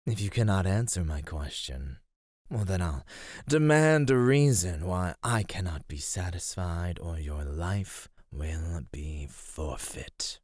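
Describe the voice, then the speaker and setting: A man playing an ancient underground monster in an ASMR roleplay, speaking in a measured, menacing, teasing way with formal wording. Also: low-pitched, lively intonation, dry recording, studio quality, full character voice. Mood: teasing, threatening. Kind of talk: ASMR roleplay, slow intimate scripted acting.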